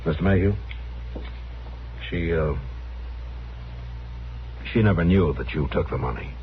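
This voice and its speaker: A middle-aged actor playing a stern, terse frontier marshal with slow authority in a radio western. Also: male voice, deep voice, expressive. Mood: grave, subdued. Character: stern, grave frontier US marshal, a grown man. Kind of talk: 1950s radio drama acting. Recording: dull vintage radio recording, faint noise.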